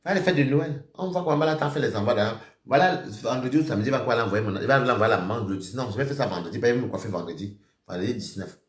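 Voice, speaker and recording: A man ranting on a live stream, accusing someone directly. He repeats himself and swings between shouting and muttering. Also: medium pitch, fast, reverberant. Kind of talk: heated live-stream rant.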